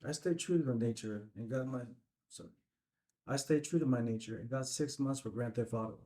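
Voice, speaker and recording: An actor playing a rueful ex-convict biker in a script table read, colloquial and plain-spoken. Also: male voice, mid-range voice, fairly quick, dark tone, lightly acted. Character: rueful male ex-convict biker recalling an old friend. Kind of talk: filmed screenplay table read.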